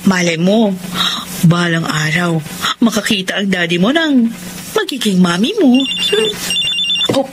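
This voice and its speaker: A woman's voice: on the low side, expressive, measured pace, long pauses. An actress with a Filipino accent playing a polite, earnest young woman tutor in a radio drama. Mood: cheerful, tender. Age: young adult. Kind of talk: Tagalog radio drama acting. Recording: noisy radio drama broadcast.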